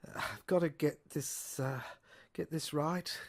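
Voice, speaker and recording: A woman playing a rambling, sardonic lab technician who lectures haltingly in an audio drama, repeating and correcting herself and asking rhetorical questions. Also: deep voice. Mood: brisk, dismissive. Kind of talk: improvised-sounding lecture monologue in an audio drama.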